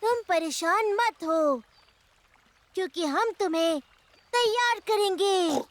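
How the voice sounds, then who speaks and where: A woman's voice: extremely high pitch, lively intonation, slow, slight background noise, exaggerated voice. A woman voicing a bossy but well-meaning young boy animal cub in a children's cartoon, blunt and childlike. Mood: determined, reassuring.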